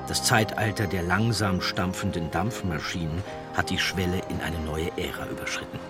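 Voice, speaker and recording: A man's voice: low-pitched, fairly even intonation, normal speed, loud music behind the voice. A man reading a scripted documentary narration as an audiobook narrator.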